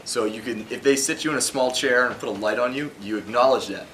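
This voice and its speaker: Brief: audience member, man, mid pitch, long-winded question, faint hall noise. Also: fast, a bit bright. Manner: explains at length before asking.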